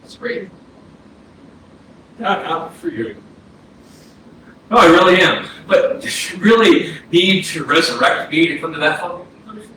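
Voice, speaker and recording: In a very echoey hall, far from the mic, an actor plays a sardonic young man, a dead brother in a stage drama. His voice sits a bit high and his talk is slangy, with noise faintly behind. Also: animated, unhurried, long pauses, pushed and bright. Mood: bitter, exasperated. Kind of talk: staged play reading, theatrical dialogue. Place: small arts-centre stage, distant mic. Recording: roomy echo, distant mic, noisy audio.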